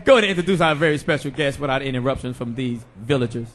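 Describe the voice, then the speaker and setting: A man's voice: mid-range voice, expressive, very fast, fairly bright tone. A man hosting a live radio show, teasing his co-hosts and laughing through his lines. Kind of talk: joking live radio banter.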